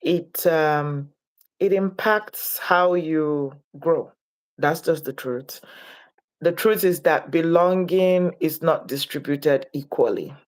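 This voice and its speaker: A woman from Nigeria, speaking as a guest in an interview, reflective and deliberate in her delivery. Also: low-pitched. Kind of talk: interview conversation.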